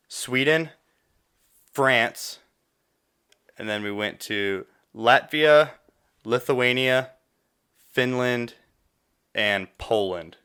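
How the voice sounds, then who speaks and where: Smooth-voiced young American man swapping stories. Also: mid-range voice, sharp tone. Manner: profane, joking, slangy. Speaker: podcast guest. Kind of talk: casual chat, rambling storytelling.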